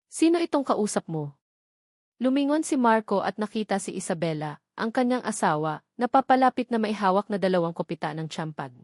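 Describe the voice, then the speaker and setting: A woman reads a scripted story as its narrator. Her delivery is solemn and melodramatic, at a middling pitch. The recording is close and dry, with no room sound. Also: darkish tone, no room sound.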